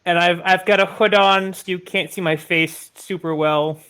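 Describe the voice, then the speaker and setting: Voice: mid-range voice, steady tone, normal speed, a bit bright, slight background noise. A player at an online tabletop game, casually describing their character's moves and asking the game master questions.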